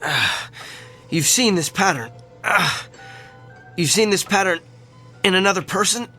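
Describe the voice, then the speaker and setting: An arrogant celebrity doctor in a radio play. His voice is high and forceful, with animated, self-important phrasing over background music. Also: a little slow, exaggerated voice. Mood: shaken. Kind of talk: theatrical radio drama acting.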